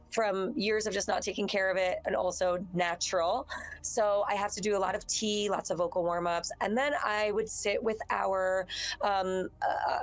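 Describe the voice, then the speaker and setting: A woman with a naturally raspy voice, a voice actress answering interview questions in a loose, rambling way. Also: medium pitch, no echo. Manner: rambling, restarts sentences, acts out her own thoughts. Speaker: voice actress guest. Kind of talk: casual interview chat.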